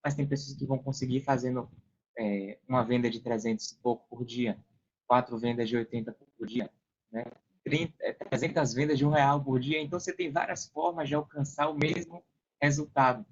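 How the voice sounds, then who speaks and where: Brazilian man, mid-pitched, methodical explainer. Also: steady tone, fairly quick, breathy edge, muffled recording. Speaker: podcast guest, affiliate marketer. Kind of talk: casual explanatory talk.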